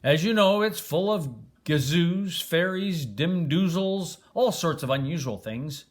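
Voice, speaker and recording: An older man voicing a storybook king who gives orders in a deliberate, emphatic way. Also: a little high, expressive, pitched above the performer's own voice. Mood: commanding. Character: storybook king setting a solemn test for suitors.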